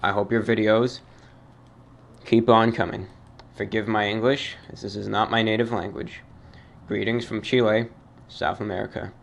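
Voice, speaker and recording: A man hosting his own show, reading fan emails aloud and answering them in a formal, measured, somewhat halting way. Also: fairly low pitch, level tone, creaky. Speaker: host reading fan mail. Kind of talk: radio-style monologue reading emails.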